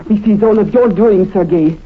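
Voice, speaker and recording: A fiery, accusing wife played by an actress in a vintage radio play. The delivery is bitter and insistent. The recording is narrow and tinny, like an old telephone. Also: female voice, mid-range voice, normal speed, slight background noise, close to an everyday voice. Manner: vehement, insistent. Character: fiery, bitter foreign wife defending her husband. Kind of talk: old-time radio melodrama.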